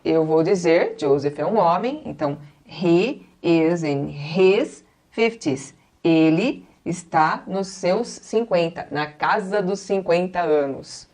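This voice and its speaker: A Brazilian woman in her forties with a Brazilian Portuguese accent, an English teacher patiently explaining and slowly repeating each example. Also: on the low side, expressive, a little mellow. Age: 40s. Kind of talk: classroom-style explanation.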